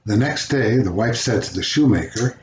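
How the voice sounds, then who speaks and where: An older man reading a children's fairy tale aloud as a storyteller, with an expressive delivery. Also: fairly low pitch, moderate pace. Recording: roomy, dull home recording, faint noise.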